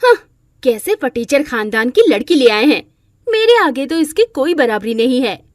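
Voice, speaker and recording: A woman's voice: high-pitched, sing-song, normal speed, no room sound, studio quality. A woman voicing a rich, jealous, scheming daughter-in-law in a moral story, scornful and boastful. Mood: spiteful, scheming. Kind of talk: Hindi moral-story character acting.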